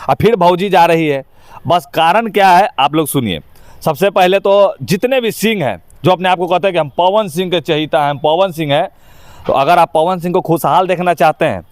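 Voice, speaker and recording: A man's voice: sits high, moderate pace, dry recording. A man with a Bihari accent giving his opinions in an informal interview, speaking emphatically in colloquial, rustic phrasing. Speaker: interview guest, actor.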